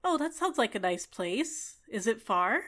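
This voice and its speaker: A woman voicing a bubbly, clingy fairy with a sweetly menacing edge in an ASMR roleplay, talking in an excitable, coy, chatty way. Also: fairly high pitch, unhurried. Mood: content, curious. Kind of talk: ASMR roleplay, scripted character acting.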